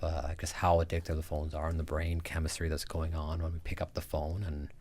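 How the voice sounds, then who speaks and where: A deep-voiced rambling radio host. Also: male voice, flat delivery, fairly quick, a little mellow. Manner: rambling, restarts often, conversational. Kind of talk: casual radio interview.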